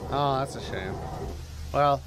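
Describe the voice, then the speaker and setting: A man's voice: mid-range voice, slow, background noise. A man hosting a live watch-along, giving casual reaction commentary with swearing and muttered asides.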